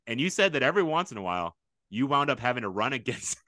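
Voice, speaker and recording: Fast, booming, enthusiastic podcast host. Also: male voice, big pitch swings, dry recording, clean studio sound. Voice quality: big booming voice. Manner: loud, rowdy and enthusiastic. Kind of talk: casual interview chat.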